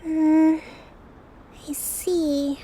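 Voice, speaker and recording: A woman playing a gentle, dutiful young island leader in a game, speaking in a polite, measured, formal way. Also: high voice, flat delivery, slight background noise. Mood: tired, reluctant.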